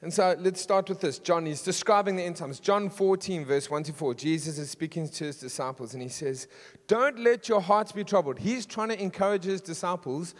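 Male pastor, husky and fairly high, casual asides, punchy emphatic runs; church reverb. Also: moderate pace. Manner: conversational, self-deprecating, builds to emphatic lists. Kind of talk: sermon. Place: church hall. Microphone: handheld stage mic.

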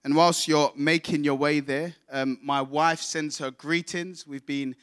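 Bright, high-voiced male preacher from England. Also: fairly even intonation, a little slow, clear, resonant tone. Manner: declamatory, calls on congregation to repeat. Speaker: visiting preacher. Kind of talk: sermon.

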